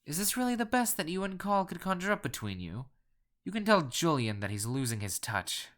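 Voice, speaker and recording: A man's voice: mid-range voice, expressive, fairly quick, a bit bright, lightly acted. A man playing a pompous, ruthless wealthy collector, telling a dramatic horror story in formal, cool, theatrical phrasing. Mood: indignant. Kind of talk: dramatic first-person horror narration.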